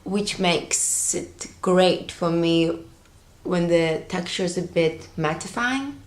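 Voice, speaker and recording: A woman's voice: fairly low pitch, takes it slowly, echoey room. A woman in her thirties from Latvia, chatting casually through a makeup tutorial on her sofa, rambling with fillers. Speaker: beauty vlogger. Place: living room, on a sofa, indoors.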